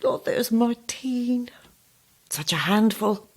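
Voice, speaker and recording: An actress playing a sly, sweetly menacing ancient old woman in a horror audio drama, husky and gravelly, with slow, relishing delivery. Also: on the high side, expressive, a little mellow, full character voice. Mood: gloating, doting. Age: older. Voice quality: husky, gravelly, sometimes hissing whisper. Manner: slow, relishing, theatrical, condescending endearments.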